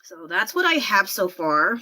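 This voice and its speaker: A woman with a low voice, chatting casually at her home desk while drawing on a livestream, rambling and poking fun at herself with frequent laughs. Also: big pitch swings, measured pace, sharp tone, low-quality recording. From United States. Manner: rambling, self-deprecating, laughs often. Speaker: livestreaming artist.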